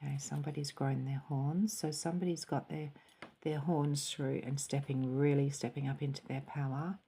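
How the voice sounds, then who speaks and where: Low, mellow-voiced woman giving a reading. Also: moderate pace, slightly rough. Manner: soft, musing, breaks into quiet calm voice. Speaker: tarot and cup reader. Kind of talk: spiritual reading to camera.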